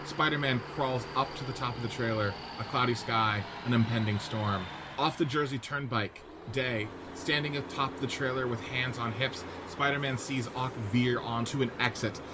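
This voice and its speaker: Male narrator for radio play, mid-pitched, reads scene directions; noisy podcast recording. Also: fairly even intonation, moderate pace, hardly any pauses. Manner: descriptive, present-tense scene setting. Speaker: narrator reading stage directions.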